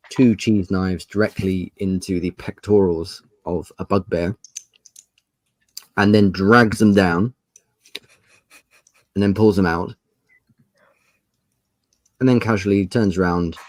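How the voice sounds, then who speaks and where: Guy doing dungeon master narration, really low voice, slow and descriptive, dry sound. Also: darkish tone, dry recording. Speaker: game master. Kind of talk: improvised tabletop game narration.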